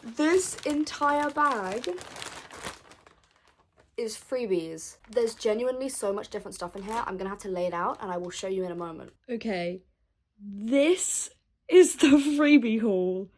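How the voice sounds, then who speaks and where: A young woman, her voice gravelly and hoarse from shouting, chatting excitedly in a casual vlog. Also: a little high, measured pace, slight background noise. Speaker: vlogger.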